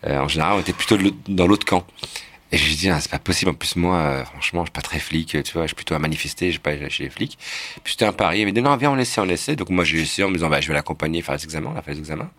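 Man, very deep voice, quick talker, casual storytelling. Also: expressive, a bit bright. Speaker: playwright and director. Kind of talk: documentary interview.

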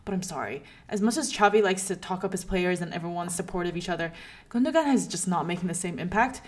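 A woman's voice: lowish voice, animated, fast talker. A woman co-hosting a podcast, debating football casually with emphatic opinions and repeated points. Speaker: podcast co-host.

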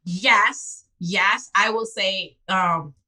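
Animated Bahamian woman in her twenties. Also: extremely slow. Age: 20s. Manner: lively, swings into high excited re-enactments. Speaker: public defender guest. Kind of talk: animated storytelling in casual chat.

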